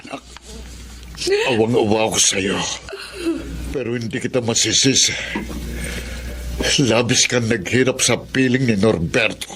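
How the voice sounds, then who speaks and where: A man's voice: fairly low pitch, unhurried, long pauses, fairly bright tone, a little hiss or hum. An actor playing a compassionate, sorrowful older father in Filipino radio melodrama. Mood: pitying.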